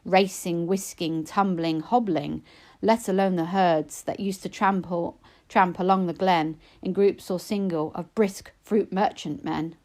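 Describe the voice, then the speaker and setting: A woman reading narrative poetry aloud, with measured, expressive and dramatic phrasing. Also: fairly low pitch. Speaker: poetry reader.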